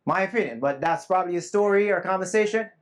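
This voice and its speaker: A man presenting at a live summit, his voice sitting a bit high for a man. He talks earnestly and emphatically to the room and drops in humble, self-deprecating asides. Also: fast talker. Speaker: conference presenter. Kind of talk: live summit talk to an audience. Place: conference room with a live audience. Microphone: not loud at first, asked to speak louder.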